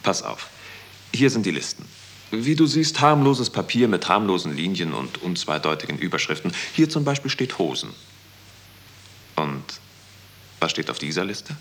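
An actor playing a cynical, unruffled office clerk in a 1960s German radio drama, sardonic and insinuating. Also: male voice, deep voice, very animated, normal speed, pauses often, a bit bright, exaggerated voice. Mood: coolly pressing. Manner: sardonic, insinuating, coolly didactic. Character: cynical, unruffled male clerk of a murderous bureaucracy. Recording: faintly hissy 1960s radio recording.

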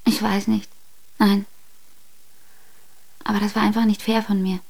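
A woman's voice: medium pitch, flat delivery, measured pace, gravelly, a little mellow. An actress playing a blunt, restless young woman in a radio drama, direct and insistent in the way she talks. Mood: regretful. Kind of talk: German radio drama acting.

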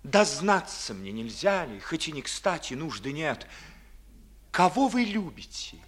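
An actor playing a lovesick, sharp-witted young nobleman in a 1950s Russian verse radio play, with declamatory, theatrical delivery. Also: on the high side, very animated, measured pace, slight background noise. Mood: probing, eager. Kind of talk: 1950s Russian classical stage acting in verse.

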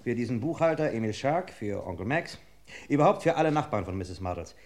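A man's voice: mid-range voice, animated, fairly quick, a little mellow, lightly acted. An actor playing an eager, hot-headed police assistant, deferential to his chief, in a German radio crime drama. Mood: keen.

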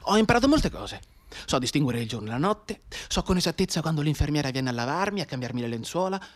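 A man playing a bedridden wounded soldier in a radio drama monologue, intense and soliloquising, with a breathy voice that can drop into whispers. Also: on the high side, sing-song, fast talker. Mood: wistful, tender. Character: bedridden wounded young soldier, feverishly counting time.

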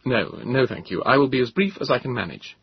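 A man's voice: mid-range voice, animated, brisk, husky, dry recording, dull audio, voice pushed up. A man voicing a weary, earnest male time traveller who speaks with urgent insistence.

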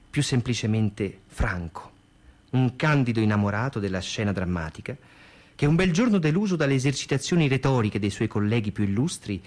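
A man's voice: mid-range voice, a little mellow. A man reading scripted theatrical narration and reviews.

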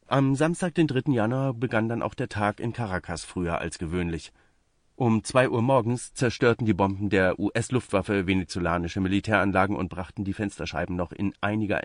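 A man's voice: deep voice, no echo, clean studio sound. A man reading out a scripted magazine report as narration. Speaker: reader of a magazine article.

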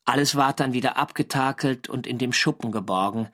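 An actor playing a young German navy sailor, narrating his war diary in a measured literary way. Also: mid-range voice, a bit bright, lightly acted. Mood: reflective. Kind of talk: scripted first-person radio drama narration.

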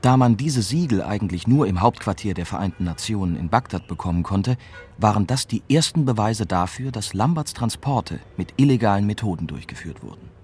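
An actor playing a young German journalist, narrating a radio drama in a reflective, bitter way. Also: male voice, fairly low pitch, lively intonation, a little fast, a little mellow, slightly rough. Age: young adult.

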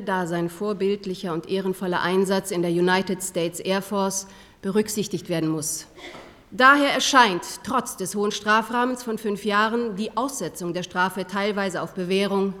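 Actress as stern judge in echoing hall. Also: female voice, medium pitch, fairly bright tone, close to an everyday voice. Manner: formal, measured legal reading. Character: formal, stern female judge pronouncing sentence. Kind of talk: German radio play acting. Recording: long reverberant hall.

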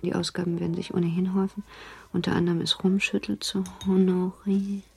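Young wife thinking out a letter, very breathy husky voice, creaky, pretty even, radio play. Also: female voice, lowish voice, fast, heavily acted. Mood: guarded, hushed. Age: young adult. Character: young wife composing a careful, evasive letter. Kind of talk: intimate German radio drama monologue.

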